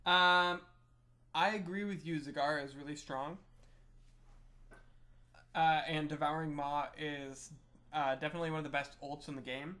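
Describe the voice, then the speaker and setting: A man's voice: on the high side, steady tone, normal speed, pauses often, pushed and bright. A man co-hosting a gaming podcast, casually trading opinions on game strategy. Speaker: podcast co-host.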